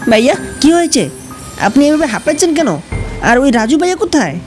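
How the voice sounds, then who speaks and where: A man voicing a worried young boy who asks anxious, pleading questions in an animated horror story. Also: extremely high pitch, fast talker, faint music behind, voice pushed up.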